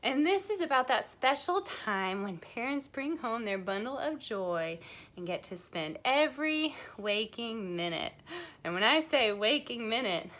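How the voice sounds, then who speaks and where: A woman hosting a children's storytime, talking to young viewers in an animated, encouraging way with playful questions. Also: on the high side, unhurried. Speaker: children's library storytime host.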